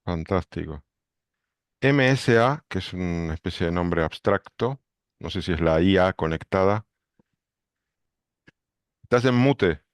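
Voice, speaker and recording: A man hosting a book club video call, briskly greeting each member. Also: low-pitched, expressive, clear, resonant tone, dry recording, clean studio sound. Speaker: book club director and host. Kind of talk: calling roll on a video call.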